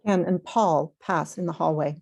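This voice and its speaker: A woman's voice: low-pitched, steady tone, moderate pace, creaky, darkish tone. A woman narrator reading scripted stage directions at a table read.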